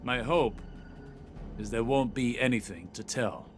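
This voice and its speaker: Casting: male actor as a secretive mentor mage, even mid-pitched voice, music clearly under. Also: a little slow, natural voice. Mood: measured. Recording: game cutscene with clear music.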